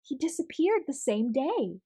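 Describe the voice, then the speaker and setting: A woman's voice: sits high, sing-song, a little slow, dark tone, voice pushed up, heavily acted. A woman voicing a friendly mother and teacher who explains earnestly, in a children's storybook. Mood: surprised, earnest.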